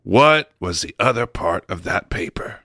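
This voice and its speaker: A man's voice: deep voice, animated, unhurried, pushed and bright, no echo, heavily acted. Man playing a bombastic studio boss, wildly swooping voice. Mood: blustering, impatient. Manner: blustering, dismissive, erratic. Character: bombastic, scatterbrained, self-important male studio executive. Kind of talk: comic radio drama acting.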